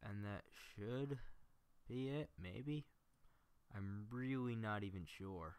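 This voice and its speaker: A man's voice: lowish voice, slow, a little mellow, smooth, no echo. A man talking casually on a livestream, muttering his thoughts aloud as he works. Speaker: livestreamer editing fan video. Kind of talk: casual livestream talk while working.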